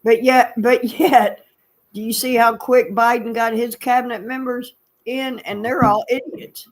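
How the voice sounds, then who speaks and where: A woman's voice: a little high, steady tone, unhurried, low-quality recording. A woman from Florida with a Southern accent, chatting bluntly and laughing often. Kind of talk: casual chat.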